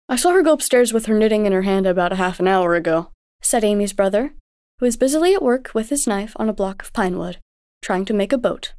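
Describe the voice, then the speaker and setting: A woman reading scripted storybook narration as the narrator, in a measured way with careful diction. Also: medium pitch, a little mellow, no room sound, studio quality.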